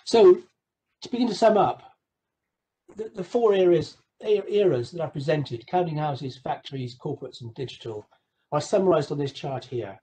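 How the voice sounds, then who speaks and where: A man giving an explanatory slide lecture over a video call, measured, with emphatic phrase openings. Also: on the high side, expressive, warm tone, rough recording. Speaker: guest lecturer presenting a webinar.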